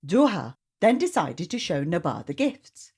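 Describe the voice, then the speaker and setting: A woman reading a storybook aloud to children in an expressive narrating style. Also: on the low side, unhurried, breathy edge.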